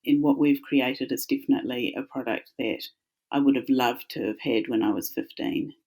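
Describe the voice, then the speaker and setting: A woman's voice: rumbling low, moderate pace, mellow. A woman with a New Zealand accent, a company founder talking as a guest in a casual, friendly interview, with small restarts.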